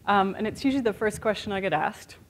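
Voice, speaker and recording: A woman's voice: medium pitch, expressive, fast. A woman with a mixed international accent gives a motivational keynote. She talks in a candid, chatty way, full of small fillers. The sound comes from a hotel conference room. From born in the United States, raised in Europe and Asia. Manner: conversational and candid, with many "sort of" and "you know" fillers. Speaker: keynote speaker.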